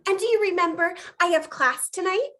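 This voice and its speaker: A woman's voice: very high-pitched, a little fast, fairly bright tone. A woman playing an earnest, worrying young assistant to a private detective, polite and solicitous, in noir stage dialogue. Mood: concerned.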